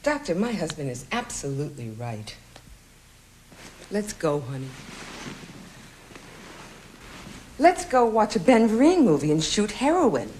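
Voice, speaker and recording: Sarcastic offended movie wife, kinda low, lively, faint noise behind. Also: female voice, normal speed. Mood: indignant, sarcastic. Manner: biting sarcasm. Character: offended, sarcastic wife backing her husband.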